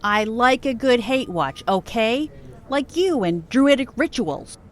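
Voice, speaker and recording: A woman's voice: a little high, animated, slow. A woman playing a snarky, defensive friend with a dry, wry manner in an audio drama. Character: snarky, defensive female friend, grudging fan.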